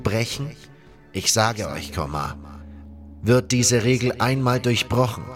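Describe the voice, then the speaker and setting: A man's voice: lowish voice, natural voice. A man voicing solemn elders of an ancient city council in formal, grave fantasy game dialogue. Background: music.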